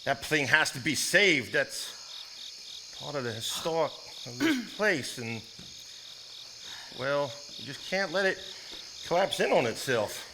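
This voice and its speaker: A man's voice: fairly high pitch, lively intonation, slow pace, pauses often. An actor playing an earnest, plain-spoken man in a live stage drama. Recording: noisy live stage recording, insects.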